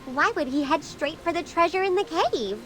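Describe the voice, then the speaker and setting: An earnest young game mage, with a high voice and lively intonation. The character sounds brave and sincere, with music clearly underneath. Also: female voice, moderate pace. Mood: thoughtful. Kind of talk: scripted game voice acting.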